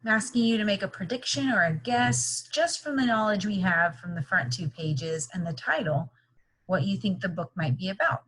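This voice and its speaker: A woman's voice: mid-range voice, normal speed, a bit bright, slightly rough, low-quality recording. A woman teacher leading a read-aloud lesson for young children, with instructive, question-filled, encouraging phrasing.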